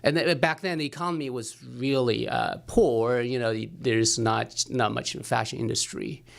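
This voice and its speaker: Male academic guest from China, halting and hesitant delivery, creaky gravel in the voice. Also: mid-range voice, fairly even intonation. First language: Chinese. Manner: hesitant, many restarts and fillers. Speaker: anthropology professor guest. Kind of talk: studio interview.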